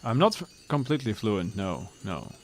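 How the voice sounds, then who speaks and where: Man, thirties, lowish voice, relaxed rambling chat, faint background hiss. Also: moderate pace. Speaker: streamer. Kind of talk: casual chat with viewers.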